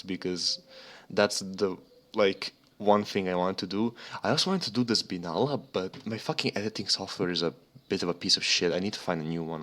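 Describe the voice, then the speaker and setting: Young male podcast co-host, slightly low pitch, profane, meandering, off-the-cuff delivery. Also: fairly bright tone. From Serbia. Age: young adult. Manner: rambling, profane. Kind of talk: casual motorsport podcast chat. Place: remote voice chat.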